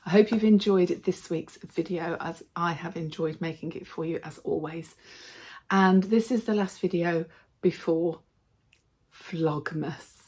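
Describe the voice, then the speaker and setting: A woman vlogger with a slightly hoarse voice from a cold, chatting casually and cheerfully to her viewers. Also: fairly low pitch, unhurried, darkish tone. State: slightly hoarse, losing her voice from a throat infection. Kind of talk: casual vlog chat to viewers.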